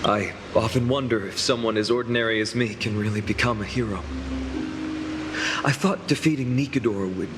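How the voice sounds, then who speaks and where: An actor playing an earnest young male hero in a game, sincere and reflective, with quiet self-doubt. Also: lowish voice, brisk, over music, lightly acted. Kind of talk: dramatic video game dialogue.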